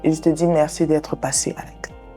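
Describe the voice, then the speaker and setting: A woman host and therapist with a notably low voice talks a guest through a guided exercise. She speaks slowly and soothingly, giving gentle directions. Soft music plays faintly behind her. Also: steady tone. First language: English. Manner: slow, soothing, directive guidance. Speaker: sex therapist and show host. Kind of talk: guided visualization and counseling talk.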